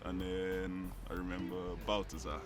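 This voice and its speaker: Male interviewee, low and unhurried, bright edge, casual joking delivery over faint music. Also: completely flat delivery. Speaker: documentary interviewee.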